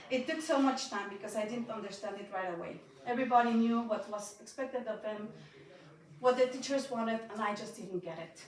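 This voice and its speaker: A young woman with Spanish as her first language gives a talk at an event, reading from her notes in a fairly high, nervous, slightly shaky voice. She sounds heavily echoey and set back from the microphone, as if caught from across a big hall, with a faint hiss underneath. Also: moderate pace, fairly bright tone. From Mexico and Austria. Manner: nervous, shaky, with small laughs. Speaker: speaker giving a talk. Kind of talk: reading a personal talk aloud to an audience. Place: event hall at a building inauguration. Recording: echoey hall, speaker far from mic.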